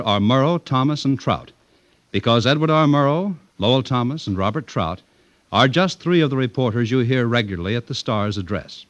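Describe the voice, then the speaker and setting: A man's voice: medium pitch, dry recording. Dry-sounding American male radio announcer. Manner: polished, formal delivery. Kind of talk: 1950s scripted network radio announcing.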